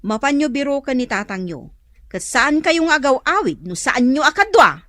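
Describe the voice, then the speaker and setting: Shrill, nagging Ilocano wife. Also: female voice, very animated, moderate pace, a bit bright, no room sound, studio quality. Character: scolding, exasperated Ilocano wife and mother. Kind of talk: comedic radio drama acting.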